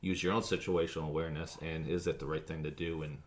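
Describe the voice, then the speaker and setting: A man speaking as a fire officer in an interview, recounting an incident in a measured, matter-of-fact way. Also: extremely low pitch, little pitch movement.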